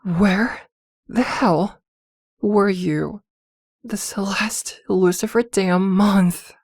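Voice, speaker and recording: A woman's voice: fairly low pitch, no room sound, studio quality. A woman playing a possessive, protective witch girlfriend in an ASMR roleplay, scolding and fussing tenderly by turns. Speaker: voice actor in an audio roleplay.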